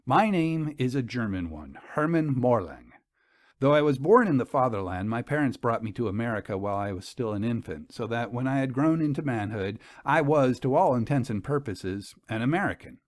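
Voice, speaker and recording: A man's voice: medium pitch, lively intonation, no echo. Man narrating a formal story. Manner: measured, formal, old-fashioned delivery. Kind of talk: scripted first-person story narration.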